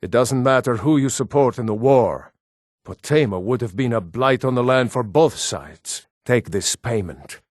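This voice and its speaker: A man voicing an earnest Nord townsman in a fantasy video game, speaking scripted lines in a measured, formal way. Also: medium pitch, lively intonation, dry recording, clean studio sound. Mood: calm, formal.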